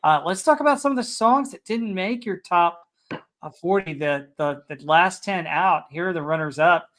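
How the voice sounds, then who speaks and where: A middle-aged man hosting a podcast, chatting casually and reading out a list with relaxed "uh"s between items. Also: sits high, moderate pace. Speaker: podcast host.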